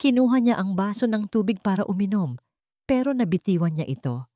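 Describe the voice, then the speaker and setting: A woman narrating a drama story in a measured, dramatic storytelling manner. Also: mid-range voice, lively intonation, mellow, no room sound, clean studio sound.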